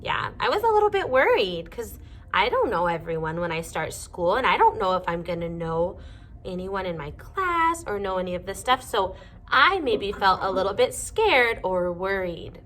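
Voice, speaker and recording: A woman teacher reading a storybook aloud to young children, pausing to ask them simple questions. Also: mid-range voice, big pitch swings, fairly bright tone.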